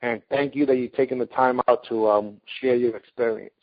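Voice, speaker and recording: A man's voice: mid-range voice, steady tone, brisk. A man hosting a casual interview, speaking emphatically and effusively, with respectful formality toward the guest, on dull radio-style audio. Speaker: interviewer, host of a martial arts talk show. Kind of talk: casual interview, enthusiastic introduction.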